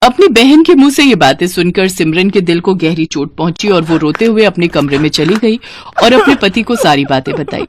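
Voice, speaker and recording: A woman's voice: lowish voice, sing-song, normal speed, slight background noise. A woman storyteller reading a scripted moral tale as narration.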